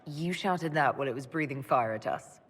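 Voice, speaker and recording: An actress playing a calm, kindly elf mage in a video game, measured and drily amused. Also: female voice, very deep voice, breathy edge. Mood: curious, dry. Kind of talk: video game dialogue acting. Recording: hall-like long reverb.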